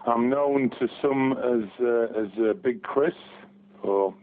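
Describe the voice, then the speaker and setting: A man's voice: mid-range voice, slow pace. A man, talking hesitantly as a guest in a casual phone interview. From Barnsley, England. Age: 40s. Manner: hesitant, many restarts and ums. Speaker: guest fan. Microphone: telephone. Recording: tinny phone line.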